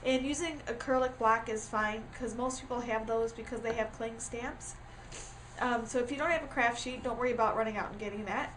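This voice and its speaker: A woman's voice: on the high side, a little fast, noisy background. A woman with a stuffy, congested voice from a starting cold, giving a casual craft tutorial, chatty and full of ums. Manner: chatty with frequent ums and uhs. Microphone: camera mic.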